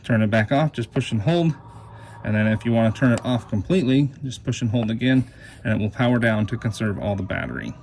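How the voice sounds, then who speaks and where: A man's voice: medium pitch, expressive, fairly quick, a little mellow. A man with a mid-range voice gives a casual hands-on product demonstration. He explains things plainly, step by step, as a reviewer would. There is some noise behind his voice. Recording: clear background noise.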